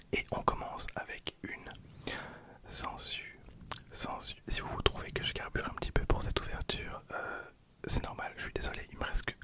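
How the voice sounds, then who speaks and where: Male, 20s, ASMR host. Fully whispered, gentle, halting delivery with repeated phrases. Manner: soft, hesitant, repeats words and phrases. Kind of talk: whispered ASMR card-opening commentary.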